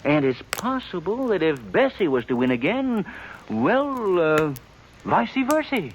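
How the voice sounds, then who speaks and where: A man's voice: sits high, a little slow, poor audio. An American man playing a flustered, wheedling small-town undertaker in a 1950s Western radio drama, stammering and rustic as he sweet-talks. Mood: earnest, coaxing. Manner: stammering, rustic, excitable, persuasive. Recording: 1950s radio recording with faint noise.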